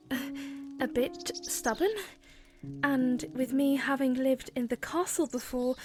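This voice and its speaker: A woman plays a young princess in an audio drama, gentle and sincere. Her voice is high and her delivery slow and earnest, with music clearly audible behind her. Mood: self-conscious. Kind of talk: fan audio drama acting.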